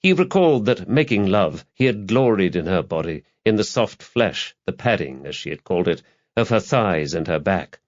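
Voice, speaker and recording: A man's voice: deep voice, big pitch swings, normal speed, lo-fi sound. A man reading a crime novel aloud as an audiobook narrator, in a scripted literary style.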